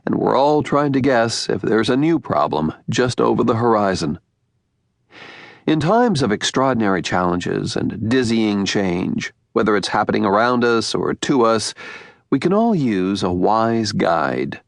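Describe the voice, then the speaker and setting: A man with an American accent narrating an audiobook in measured, deliberate phrases with emphatic pauses. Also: on the low side, big pitch swings, gravelly, no room sound, studio quality.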